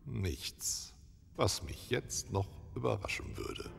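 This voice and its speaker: A man's voice: lowish voice, big pitch swings, slow pace, creaky, long reverb tail, exaggerated voice. A man playing a dry, reluctant butler in an audio play, dour and hesitant. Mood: dry reluctance.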